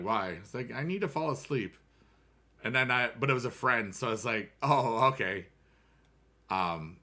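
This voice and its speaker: A man's voice: mid-range voice, pushed and bright. An American man in his thirties chatting casually with viewers on a game stream, rambling and upbeat with playful rhymes.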